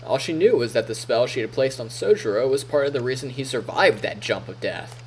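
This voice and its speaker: A man's voice: medium pitch, expressive, fairly quick, slight background noise. A male streamer reading a visual novel aloud expressively as narrator, on a close microphone. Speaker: live streamer. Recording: music faintly behind.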